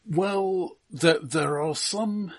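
A man co-hosting a podcast, talking casually about films and haltingly repeating the first words of his sentences. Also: a little high, no echo. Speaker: podcast co-host. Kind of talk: casual film discussion.

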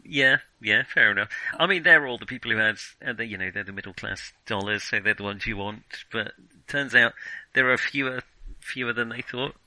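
Bright, forceful man chatting about films. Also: mid-range voice, a little fast, no echo, low-quality recording. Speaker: podcast co-host. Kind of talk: casual film discussion.

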